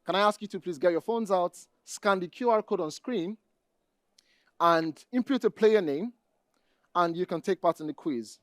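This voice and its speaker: A man with a Nigerian accent giving a conference presentation, speaking deliberately and addressing the audience directly. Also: sits high, dry recording, studio quality. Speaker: conference speaker, database administrator.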